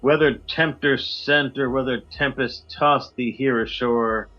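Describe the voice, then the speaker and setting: A man reads poetry in a measured, low and dramatic way over a video call. His audio sounds dull and lo-fi, with faint music playing underneath. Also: fairly even intonation. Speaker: podcast guest and co-host.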